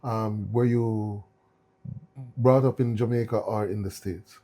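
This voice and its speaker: A male podcast host with a low, warm, mellow voice. He asks his guest about his upbringing with gentle, probing questions and an unhurried, conversational manner. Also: fairly even intonation. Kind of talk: interviewing a guest.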